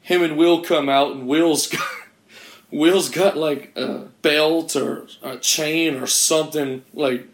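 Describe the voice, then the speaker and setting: Hoarse man rambling, echoey room. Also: fairly high pitch, fairly even intonation, slow. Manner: rambling, swears freely, laughs at his own mishaps. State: hoarse from a lost voice. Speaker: podcast host. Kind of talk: casual profane chat.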